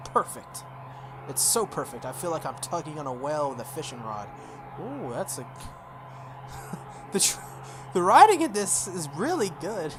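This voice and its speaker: A man's voice: fairly high pitch, very animated, moderate pace, long pauses, noisy background. A man hosting a game playthrough, reading a visual novel aloud with dramatic delivery and casual asides. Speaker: video game playthrough host. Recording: background music behind the voice. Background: game music.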